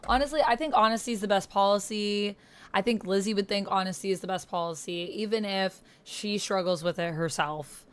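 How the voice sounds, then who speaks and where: A woman's voice: mid-range voice, a little fast, clean tone. A woman hosting a roleplaying game, chatting casually and expressively in slangy, informal language as she plays along. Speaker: host playing a text-based roleplaying game. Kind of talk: casual chat while playing along with typed roleplay.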